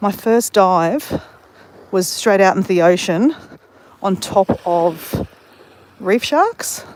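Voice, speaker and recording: A woman's voice: medium pitch, expressive, slow, darkish tone, slight background noise. An Australian woman chatting casually while walking on a beach, telling a rambling story full of self-corrections. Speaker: vlogger. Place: outdoors on a beach.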